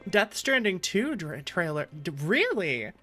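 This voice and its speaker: Lowish-voiced woman streaming a game. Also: sing-song, measured pace, bright, forceful tone, soft background music. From American Midwest. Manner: chatty, reacting aloud. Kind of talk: casual gameplay commentary.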